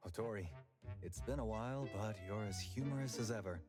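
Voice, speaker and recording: An actor voicing a polite, formal, flattering manager of an intel group in a video game. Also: male voice, on the low side, fairly quick, natural voice. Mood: amiable. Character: courteous male manager of an intel group, smooth negotiator. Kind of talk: scripted video game dialogue. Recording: music playing behind.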